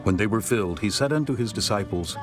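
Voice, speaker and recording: A man narrating scripture from a script in measured, formal storytelling. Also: fairly low pitch, animated, music in the background.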